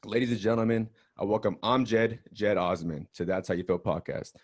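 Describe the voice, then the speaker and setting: A man's voice: lowish voice, moderate pace. Upbeat male podcast host. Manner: friendly, upbeat, uses slang like bro and dope. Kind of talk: casual interview talk.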